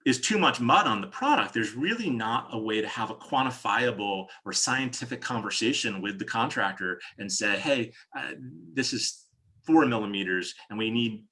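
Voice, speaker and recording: A man's voice: on the low side, lively intonation, moderate pace, pushed and bright. An American man from New Jersey speaking as a company executive in an interview, explaining products conversationally and often restarting his phrases. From New Jersey, United States.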